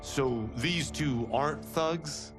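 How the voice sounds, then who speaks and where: A man playing a cocky, brave young martial artist in a video game, brash and expressive. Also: a little high, takes it slowly. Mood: incredulous. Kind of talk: cinematic game dialogue. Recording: loud cinematic music behind the voice.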